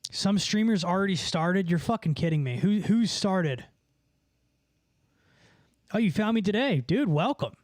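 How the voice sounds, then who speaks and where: Slangy, profane man with a higher voice. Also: animated, measured pace, long pauses, no room sound, clean studio sound. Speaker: livestreamer and musician. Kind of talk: casual livestream chat answering viewers.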